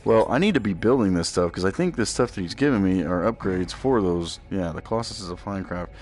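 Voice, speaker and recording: A man's voice: low voice, expressive, fairly quick, a little mellow. A man giving casual live commentary on a game, laid-back and mostly talking to himself, muttering along as he goes. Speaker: gaming streamer.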